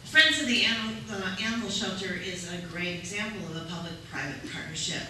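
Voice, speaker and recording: A woman speaking as a city council candidate at a public forum, making an earnest, measured argument. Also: medium pitch, sharp tone. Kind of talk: forum answer to a question. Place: community center meeting room. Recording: echoey room from far off, faint noise.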